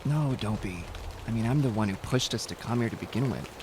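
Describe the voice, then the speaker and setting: A man's voice: on the low side, animated, brisk, noisy background. A man playing an earnest, anxious young hiker in an amateur audio drama. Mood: shaken.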